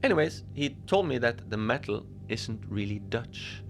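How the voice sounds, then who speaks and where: A man playing an easygoing, whimsical lone Antarctic station manager, rambling in a conversational, deadpan audio-fiction monologue. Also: medium pitch, moderate pace, creaky, slight background noise. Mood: deadpan, confiding. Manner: musing, conversational, deadpan about absurd things. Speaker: fiction podcast narrator, lone facility manager at a polar station. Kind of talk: first-person audio fiction monologue, rambling radio log.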